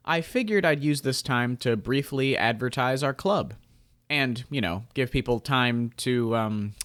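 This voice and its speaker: A young man narrating a student radio drama and then speaking casually to the audience with light humor. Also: medium pitch. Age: young adult. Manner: storytelling, lively, lightly humorous aside.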